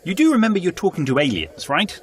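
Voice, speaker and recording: An actor playing a sceptical, puzzled travelling companion from Britain in an audio drama, dry and questioning. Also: male voice, high voice, wildly swooping pitch, fast talker, a little hiss or hum. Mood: dry, wary. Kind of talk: full-cast audio drama acting.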